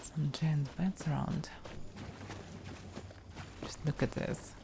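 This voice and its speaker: A woman with a Russian accent, musing softly to herself while giving casual commentary on her game stream. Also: low voice, steady tone, takes it slowly, creaky, background noise. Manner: soft-spoken, musing to herself.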